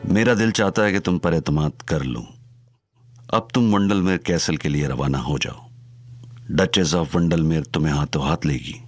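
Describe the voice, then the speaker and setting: An actor playing a dangerous older male gangster in an audio drama, talking slowly with calm, amused menace. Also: rumbling low, faint music behind. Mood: subdued. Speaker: Chacha Singhi.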